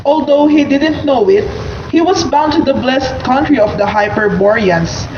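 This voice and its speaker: A woman's voice: high-pitched, normal speed. A woman narrator reading a scripted story for an amateur radio play. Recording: muffled, echoey room with background noise.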